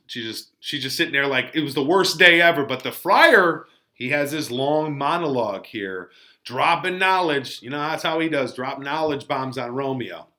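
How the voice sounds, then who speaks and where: A man teaching a literature lesson in a casual, colloquial way, with animated commentary. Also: medium pitch. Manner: animated, colloquial, acts out paraphrases.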